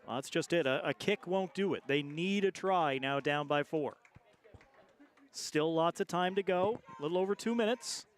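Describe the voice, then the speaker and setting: A man giving measured, conversational analysis as colour commentator on a live sports broadcast. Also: on the high side.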